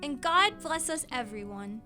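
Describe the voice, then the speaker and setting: An earnest, loving, fretful mother in a radio drama. Her voice sits a little high, with clear music behind it. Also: big pitch swings, unhurried, bright, forceful tone, heavily acted. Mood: affectionate. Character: anxious, loving working-class mother running a household. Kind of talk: radio play acting.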